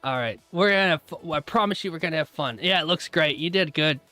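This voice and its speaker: A man's voice: a little high, fast talker, sharp tone, faint music behind. A man hosting a live trading stream, chatting casually with his viewers, laughing often and rambling through asides. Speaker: trading livestream host.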